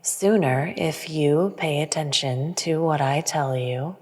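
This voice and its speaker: Woman as an otherworldly, low, slow, all-knowing female entity. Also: a little mellow, husky, heavily acted. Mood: cool, dismissive. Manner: measured, formal, pronouncing. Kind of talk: sci-fi audio drama. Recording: long reverb over music.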